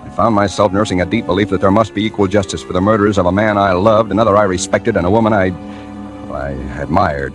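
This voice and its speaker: An actor playing a dogged, hardboiled newspaper reporter in a 1940s radio detective drama, with a husky voice and wry, clipped delivery. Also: male voice, low voice, natural voice. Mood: grim, brooding. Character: dogged hardboiled male newspaper reporter narrating his case. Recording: old radio recording with faint music.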